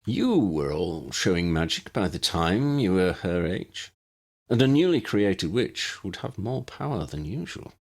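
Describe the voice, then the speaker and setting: A man voicing a formal, self-doubting uncle with a measured, wordy way of talking in a fantasy audiobook. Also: low voice, big pitch swings, heavily acted. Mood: wry, grave. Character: formal, wry, self-doubting adult uncle without magic.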